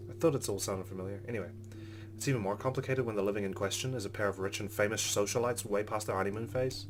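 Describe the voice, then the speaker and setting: A man's voice: on the low side, quick pace, noisy background. A man hosting a let's play, reading game narration aloud and adding casual commentary.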